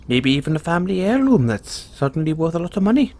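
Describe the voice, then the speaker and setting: A man's voice: fairly high pitch, very animated, fast, darkish tone. A man voicing a kindly, playful leprechaun in an audio roleplay, folksy and reassuring. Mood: cheerful, generous. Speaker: roleplay performer. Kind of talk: audio roleplay, speaking softly to one listener.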